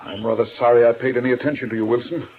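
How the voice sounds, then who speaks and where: A man acting as a dignified, skeptical judge from an old radio mystery. His mid-pitched voice is formal, brusque and commanding. The recording is narrow and tinny. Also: fairly even intonation, very fast, natural voice. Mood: impatient.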